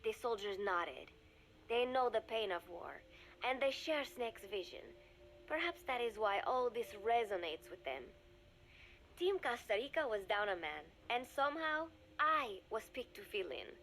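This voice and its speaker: An actress voicing an earnest young woman reading her diary aloud in a video game, calm and reflective. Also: on the high side, unhurried, slight background noise, heavily acted, rough recording. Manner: calm, reflective, softly spoken. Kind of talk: game audio diary narration.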